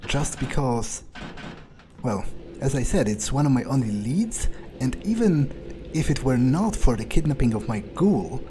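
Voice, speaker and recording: A man playing a cold, vengeful vampire obsessed with his authority, improvising deliberately and wryly in character for a game playthrough. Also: mid-range voice, lively intonation, noisy background, lightly acted. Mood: cold, scheming. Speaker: gameplay roleplayer.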